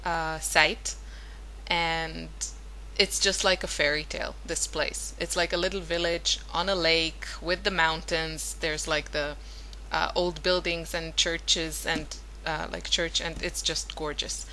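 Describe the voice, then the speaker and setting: Woman, 30s, native Hebrew speaker; casual rambling crafting narration with a bright, slightly low voice. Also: level tone, slow, slight background noise, poor audio. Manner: chatty, rambling, many fillers and self-corrections. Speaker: scrapbooking vlogger.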